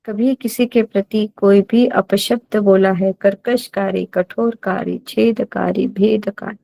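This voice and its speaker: A woman with an Indian accent leads a guided meditation as a teacher, giving deliberate instructions and repeating phrases for emphasis. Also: medium pitch, gravelly, a little mellow, no room sound, low-quality recording.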